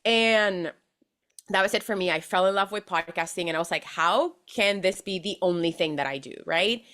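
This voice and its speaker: A young woman from Venezuela, a podcast manager, chatting as a guest in an animated way with fillers and restarts. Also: lowish voice, moderate pace. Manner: animated, laughs often, many fillers and restarts. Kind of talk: casual interview chat.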